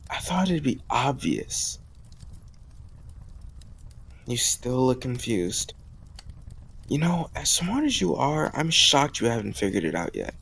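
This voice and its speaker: Man acting as young male love interest, deepish gravelly voice, warm, teasing, conversational, intimate romantic roleplay. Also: full character voice. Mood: teasing, fond. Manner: casual, gently teasing, conversational with soft chuckles. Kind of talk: romantic audio roleplay, spoken intimately to the listener.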